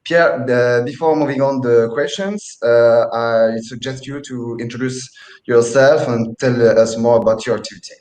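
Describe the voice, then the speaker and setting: A man hosts an online talk, welcoming his guest and steering the questions in a polite, slightly halting way. His voice sits at an average pitch and carries a noticeable room echo, heard through a video call. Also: darkish tone. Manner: polite, halting, some fillers. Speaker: host and moderator. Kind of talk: hosting a webinar talk. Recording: echoey room.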